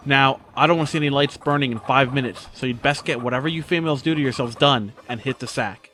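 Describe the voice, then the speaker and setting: A man playing a gruff, impatient farm overseer in an audio drama, curt and grumbling. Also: mid-range voice, fast talker, bright, forceful tone, close to an everyday voice. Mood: bossy. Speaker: voice actor.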